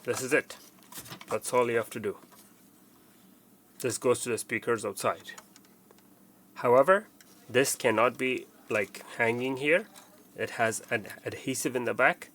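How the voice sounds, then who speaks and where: Male technician for a plain how-to walkthrough: fry-edged voice, casual and repetitive instruction, faint background noise. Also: mid-range voice, fairly bright tone. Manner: plain, step-by-step explaining, often repeating instructions. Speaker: technician presenting a how-to tutorial. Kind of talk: casual hands-on installation walkthrough.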